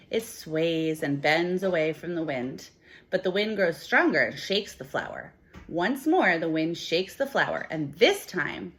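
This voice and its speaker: Lower-voiced woman teacher reading to children. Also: fairly bright tone. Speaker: teacher reading a picture book aloud. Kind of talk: expressive storybook read-aloud for children, with teacher talk.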